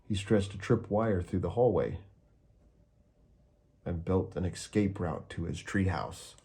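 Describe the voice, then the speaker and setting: A man reading a children's picture book aloud with calm, steady storytelling. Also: sits low, unhurried, breathy edge. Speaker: storybook reader.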